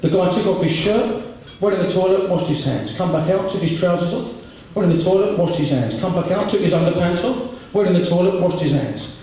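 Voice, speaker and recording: A man doing a stand-up comedy routine at a charity fundraiser, telling jokes rapidly and doing the voices of the people in them. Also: sits high, animated, warm tone, slight background noise. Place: large hotel function room with audience. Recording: distant, very echoey hall with crowd. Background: audience laughter.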